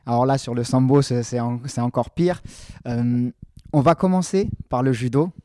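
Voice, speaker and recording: A man with a mid-pitched, mellow and relaxed voice, interviewing a guest in a friendly, chatty way. His questions wander and restart before landing. The sound is dry and close. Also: moderate pace, dry recording. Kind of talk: casual sports interview.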